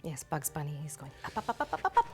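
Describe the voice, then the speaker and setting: A woman's voice: low voice, sing-song, fast talker, faint music behind. A woman hosting a casual TV interview, asking questions in a playful, curious way. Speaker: TV host, interviewer.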